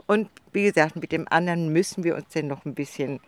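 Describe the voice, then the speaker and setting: Soft-spoken woman in her seventies. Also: sits low, a little fast, dry recording. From northern Germany. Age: 70s. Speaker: interviewee, retired gardener. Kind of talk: casual interview answers. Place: outdoors in a city allotment garden.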